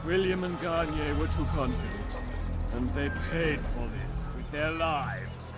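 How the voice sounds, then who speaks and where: A ruthless knight commander, mocking and menacing, in a raised, high-pitched voice that swings from taunting to shouted orders. A loud musical score plays under the game's cutscene audio. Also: male voice, lively intonation, a little slow, loud music behind the voice. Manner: mocking, then fearful and quiet. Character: ruthless knight commander, then a dying man. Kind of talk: video game cutscene acting.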